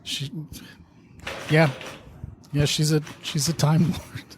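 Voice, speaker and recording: A man's voice: on the high side, flat delivery, slow pace, slight background noise. A man playing a jokey, easily flustered time-crime investigator in a comedic radio drama. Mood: patronizing, flustered.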